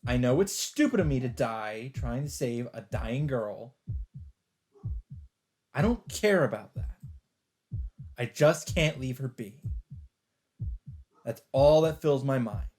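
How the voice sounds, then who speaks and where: A man reading a visual novel aloud as a let's player, earnest and expressive, mixing dramatic narration with casual commentary. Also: medium pitch, slow, pauses often, slight background noise. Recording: background music under the voice. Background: game music.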